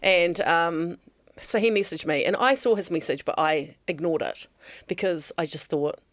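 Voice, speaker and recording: A woman's voice: fairly low pitch, normal speed, no room sound, studio quality. A woman, a guest telling her story in casual chat, quoting herself and laughing often. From New Zealand. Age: 50s.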